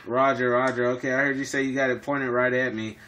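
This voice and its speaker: Male hobby radio operator, mid-pitched, casual and jargon-heavy, speaking from an apartment. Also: flat delivery, fast talker, bright, forceful tone. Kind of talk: casual two-way radio chat. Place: indoors in an apartment.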